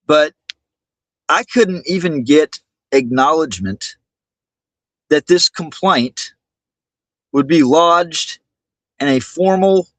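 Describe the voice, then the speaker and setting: A man hosting a livestream monologue, speaking indignantly and haltingly, often repeating his words. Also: fairly high pitch, fairly bright tone, no room sound, poor audio. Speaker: livestream host.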